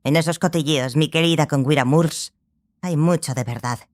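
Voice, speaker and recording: An actress as a grave, measured scholarly sorceress. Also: female voice, sits low, a little mellow, close to an everyday voice. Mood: stern, intense. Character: stern, obsessive scholarly sorceress, the Lady of the Lake. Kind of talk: dramatized audiobook dialogue.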